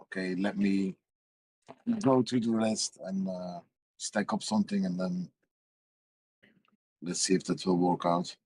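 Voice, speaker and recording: A man's voice: sits low, sing-song, a little slow, gravelly, pauses often, a little mellow, slightly rough, dry recording, poor audio. A man in a casual trading chat, explaining coin moves in a plain, informal way. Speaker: stream participant.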